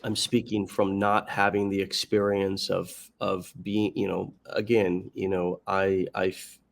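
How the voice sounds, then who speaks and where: This American man speaks in a fairly low voice as the host of a motivational interview show. He talks reflectively and haltingly, restarting his sentences and searching for words as he goes. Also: level tone, gravelly. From United States. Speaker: podcast host.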